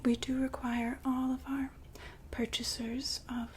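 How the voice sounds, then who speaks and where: A woman playing the poised, businesslike madam of a futuristic establishment in an ASMR roleplay, whispering softly and formally to a client. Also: high voice, little pitch movement, slight background noise. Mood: courteous, businesslike. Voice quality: soft, breathy whisper. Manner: polite, formal, unhurried saleswoman patter.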